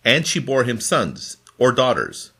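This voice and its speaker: A man reading scripture from a formal translation in a deliberate, phrase-by-phrase manner. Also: medium pitch, huge pitch swings, a bit bright. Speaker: scripture reader.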